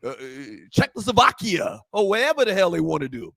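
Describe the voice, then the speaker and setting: A man with a high-pitched voice and a dry, close sound co-hosts a casual talk show. He is animated and blunt, and swears freely as he chats about sports and current events. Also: brisk, creaky, no room sound.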